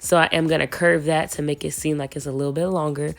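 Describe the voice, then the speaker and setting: A young woman, hoarse from a cold, chatting casually to viewers as she walks through a wig install. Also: low voice, steady tone, fast talker. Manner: casual and chatty, slangy, addresses viewers as y'all. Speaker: beauty vlogger. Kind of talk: casual wig tutorial chat.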